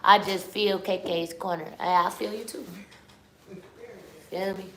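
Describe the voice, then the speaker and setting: A woman's voice: mid-range voice, fairly even intonation, a little slow, roomy sound, a little hiss or hum, rough recording. Slangy young woman hosting a live stream. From Chicago. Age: 20s. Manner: loose, slangy, keeps addressing the chat. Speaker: livestream host. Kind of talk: casual livestream chat.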